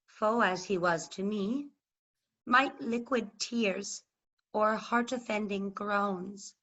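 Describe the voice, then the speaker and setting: A woman's voice: fairly high pitch, fairly bright tone, heavily acted. A woman playing a proud, passionate medieval queen in classical verse, recorded over a video call. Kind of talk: classical verse stage acting. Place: remote staged reading over video call. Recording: dull online video-call audio.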